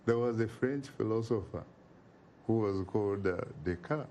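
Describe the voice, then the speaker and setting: A man speaks as an interview guest in a warm, mellow voice. He is emphatic and oratorical and drives his points home through repetition. Faint noise sits under the recording. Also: on the low side, moderate pace. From Uganda. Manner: emphatic and oratorical, repeats phrases for effect. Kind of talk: impassioned interview talk.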